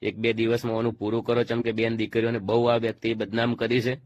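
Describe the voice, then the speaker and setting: A man's voice: medium pitch, flat delivery, fast, a little mellow, lo-fi sound. A man with a Gujarati accent presenting an informal commentary to viewers in a disapproving manner. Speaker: video presenter. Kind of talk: informal commentary on a call recording.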